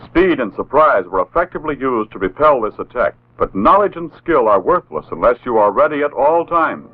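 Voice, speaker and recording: An American man narrating a 1960s instructional film script in a formal, authoritative, measured way. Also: medium pitch, very animated. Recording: tinny narrow-band old film soundtrack.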